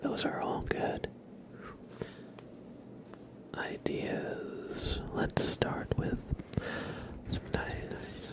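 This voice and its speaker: A man softly chatting to viewers as an ASMR livestreamer, gentle and unhurried, often in a whisper.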